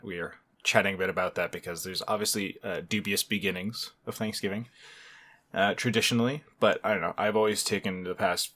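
Bright, upbeat American podcast host. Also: male voice, deep voice. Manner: upbeat, goofy, sentimental. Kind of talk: casual podcast chat.

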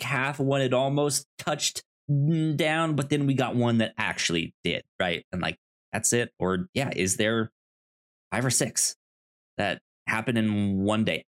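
A man co-hosting a podcast, chatting casually about film and haltingly repeating words as he thinks. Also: mid-range voice. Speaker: podcast co-host. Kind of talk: casual film discussion chat.

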